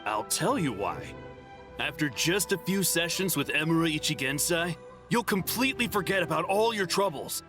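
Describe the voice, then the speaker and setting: A man's voice: on the high side, big pitch swings, moderate pace, music in the background. An actor playing a fervent, touchy male follower of a guru in a video game, speaking with zeal. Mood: zealous.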